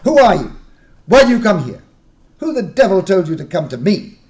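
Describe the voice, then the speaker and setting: A man voicing a fierce, excitable middle-aged French farmer with a French accent who interrogates and shouts. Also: sits high, moderate pace, pitched above the performer's own voice. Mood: angry.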